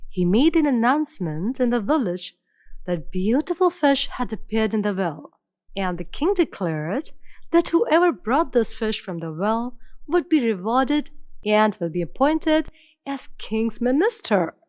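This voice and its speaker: A woman narrating an animated fairy tale in an expressive, storybook way. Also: on the high side, measured pace, no echo.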